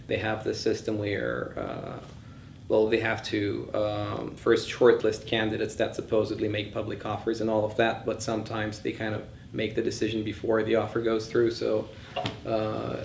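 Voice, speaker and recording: A man's voice: fairly low pitch, gravelly, a little mellow. Man lecturing informally in an echoey room. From born in Bulgaria, grew up in several countries. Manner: conversational, opinionated, thinking aloud. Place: indoors in a session room. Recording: echoey room, faint background noise.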